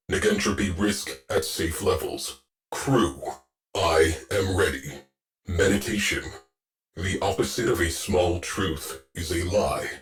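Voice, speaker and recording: Deep, slow-talking, stern warship AI. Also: male voice. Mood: formal, dutiful, then solemn, philosophical. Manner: terse, clipped, commanding, solemn. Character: stern, stoic male artificial intelligence commanding a warship. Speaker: ship's AI voice in a video game. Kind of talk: scripted game voice lines, military briefing.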